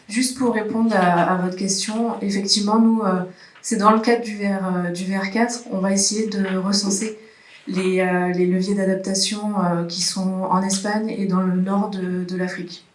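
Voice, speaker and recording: A woman's voice: mid-range voice, normal speed. A woman from a regional agriculture chamber explaining a project to a workshop. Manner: explanatory, flat delivery. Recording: roomy hall, distant mic.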